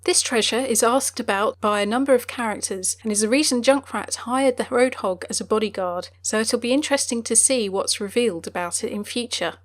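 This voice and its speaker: High-voiced woman narrating game lore. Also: a little fast, few pauses. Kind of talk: scripted explainer narration.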